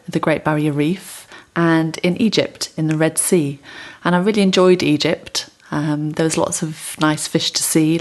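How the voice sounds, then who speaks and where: Very low-pitched woman, simply recounting. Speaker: interviewee.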